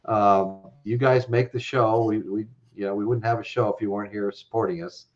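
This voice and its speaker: A man's voice: on the low side, fairly even intonation, normal speed, muffled recording. A man hosting a casual live-stream chat, rambling with lots of fillers and frequent laughs. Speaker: host.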